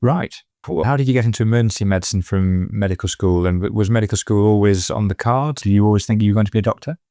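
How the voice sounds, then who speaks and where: A man hosting a medical podcast, introducing his guest and asking brisk, friendly questions. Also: lowish voice, warm tone, no room sound, studio quality. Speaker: podcast host. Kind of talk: podcast interview.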